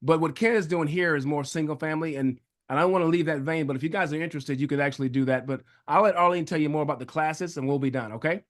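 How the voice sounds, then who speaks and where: A man speaking as a real estate coach and guest on a webinar. He is relaxed and repeats words as he goes, over a video call. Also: fairly high pitch, fast, hardly any pauses. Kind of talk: casual webinar talk.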